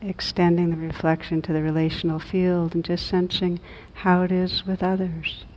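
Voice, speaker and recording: A woman leading a guided meditation as a teacher, gentle and measured, with long pauses between reflective phrases. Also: level tone. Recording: dull muffled audio, low rumbling noise.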